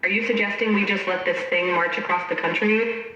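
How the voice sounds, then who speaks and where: A man's voice: high-pitched, steady tone, fairly quick, dull audio. A man playing a hard-line military adviser, speaking urgently and formally in a horror audio drama. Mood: urgent, resolute. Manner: formal, forceful. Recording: very echoey, distant, faint noise.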